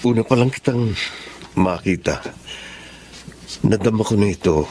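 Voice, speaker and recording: A man's voice: lowish voice, measured pace, warm tone, slight background noise, lightly acted. A man with a Filipino accent playing an earnest, polite, lovestruck young man in a radio drama. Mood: earnest, loving.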